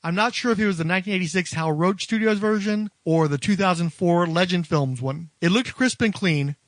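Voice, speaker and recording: High-pitched man narrating a scripted film review. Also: fairly quick, no echo. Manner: wordy, literate phrasing. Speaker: podcast host.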